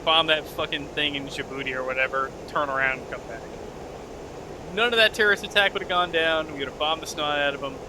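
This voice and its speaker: A man's voice: on the high side, fairly quick. A man streaming a flight sim game with friends, joking and swearing in casual chat. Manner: joking, profane, rambling. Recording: noisy live stream audio.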